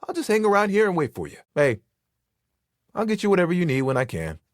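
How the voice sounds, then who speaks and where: Flirty, easygoing living towel, animated delivery. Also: male voice, sits high, fast talker, dry recording, clean studio sound. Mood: excited, moved. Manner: flirty, laid-back, playful, heartfelt. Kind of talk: video game character acting.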